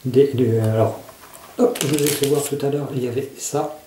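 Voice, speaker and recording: A man's voice: medium pitch, steady tone, normal speed, warm tone, a little hiss or hum. A man casually reviewing survival gear to camera. He often hesitates and searches for his words. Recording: echoey room.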